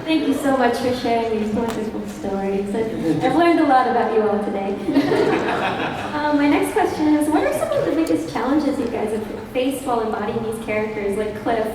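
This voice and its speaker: A woman's voice: fairly high pitch, expressive, brisk, background noise. A woman moderating a convention panel, asking questions politely with a light giggle, recorded from far off in an echoey, crowded hall. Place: large convention panel room.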